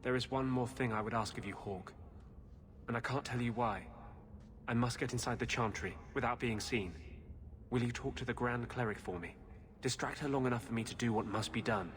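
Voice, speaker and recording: An actor playing an intense, secretive rebel mage in a video game, speaking gravely and insistently. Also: male voice, mid-range voice, a little fast, fairly bright tone, a little hiss or hum, close to an everyday voice. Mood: urgent, guarded. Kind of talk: dramatic video game acting.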